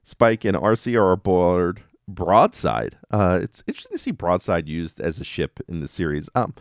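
A man hosts a casual fan podcast in a fairly low voice. His intonation is very animated and he talks in a conversational, enthusiastic way. The sound is close and dry. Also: normal speed, dry recording. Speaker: podcast host. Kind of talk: casual fan podcast, recapping cartoon episodes. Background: music under the voice.

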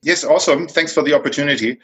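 Husky-voiced German man in his fifties. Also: fairly high pitch, quick pace, pushed and bright. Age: 50s. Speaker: guest. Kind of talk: podcast interview.

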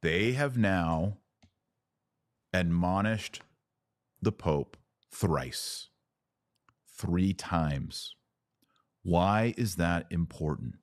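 A man with a low-pitched voice hosts a religious commentary podcast. He explains in an emphatic, teacherly way, posing questions and then answering them. The sound is dry, with very little room in it. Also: expressive, extremely slow, long pauses, dry recording. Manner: emphatic, didactic, asks and answers own questions. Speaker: podcast host.

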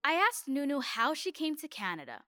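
A woman correspondent narrating an interview in a clear, measured, scripted way. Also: sits high, big pitch swings, sharp tone, dry recording, studio quality.

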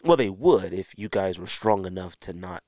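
A man's voice: deep voice, animated, normal speed, a little mellow, no echo, studio quality. A man hosting a solo podcast, talking in a blunt, exasperated way with emphatic rhetorical questions, like an opinionated rant. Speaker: podcast host.

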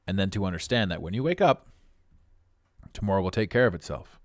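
A middle-aged man with a raspy voice, hosting a podcast with a blunt, preachy motivational monologue. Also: low-pitched, lively intonation, a little fast, dry recording, studio quality. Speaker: podcast host.

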